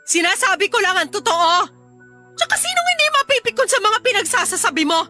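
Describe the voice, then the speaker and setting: Very high, sharp-voiced fed-up Filipino wife. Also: female voice, big pitch swings, a little fast, soft background music, heavily acted. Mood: angry, hurt. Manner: scolding, exasperated, melodramatic. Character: fed-up pregnant young wife and mother, sharp-tongued. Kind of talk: Filipino radio drama acting.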